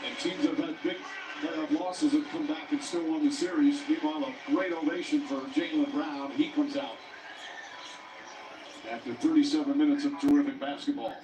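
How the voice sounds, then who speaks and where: A man calling a live basketball game as play-by-play commentator, jumping up in pitch on big plays. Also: moderate pace, background noise. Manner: animated, with sudden jumps up in pitch on big plays. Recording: broadcast audio played in an echoey room, mic far off, music over it. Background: music playing loud.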